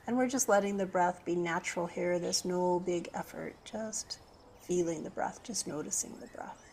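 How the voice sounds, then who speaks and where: A woman leading a guided meditation as a yoga teacher, speaking gently and unhurriedly with pauses between phrases. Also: fairly low pitch, gravelly, slight background noise.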